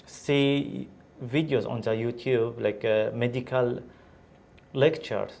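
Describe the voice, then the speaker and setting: Syrian male doctor, English, halting casual interview answers. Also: medium pitch, steady tone, gravelly, darkish tone. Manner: hesitant, many restarts and fillers. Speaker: guest, physician.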